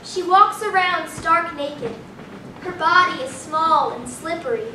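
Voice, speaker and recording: A woman's voice: very high voice, a little slow, bright, forceful tone, exaggerated voice. A young female performer playing a dramatic, macabre little girl in a staged play reading, declaiming theatrically. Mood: macabre relish. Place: small hall, staged reading before an audience. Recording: roomy distant camera recording, faint noise.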